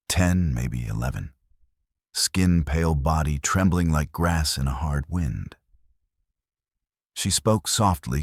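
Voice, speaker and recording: Very deep-voiced man narrating a western. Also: no room sound, studio quality. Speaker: storyteller narrator. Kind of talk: scripted dramatic western narration.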